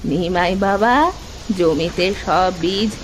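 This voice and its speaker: A woman's voice: fairly low pitch, steady tone, slow pace, a little hiss or hum, rough recording. A woman voicing a kindly old village granny in a cartoon, giving affectionate orders. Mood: kindly, instructing.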